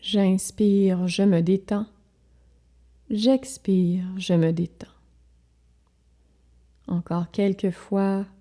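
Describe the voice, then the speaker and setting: A woman leading a guided relaxation as a yoga instructor, speaking calmly and soothingly in short, measured phrases with pauses. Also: mid-range voice.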